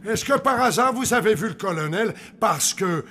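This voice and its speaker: A man's voice: high-pitched, expressive, normal speed, noisy background. A man voicing a polite, officious zombie hotel keeper in a French cartoon, formal and fussy. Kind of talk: French animated cartoon dubbing.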